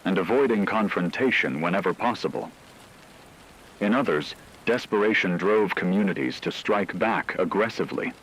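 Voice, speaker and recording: Very deep-voiced male narrator, noisy backdrop. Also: fairly bright tone. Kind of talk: dramatic scripted narration of apocalypse fiction.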